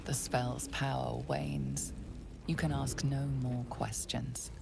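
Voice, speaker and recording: A woman narrating a game in a measured, detached way. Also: rumbling low, steady tone, gravelly, background noise, full character voice.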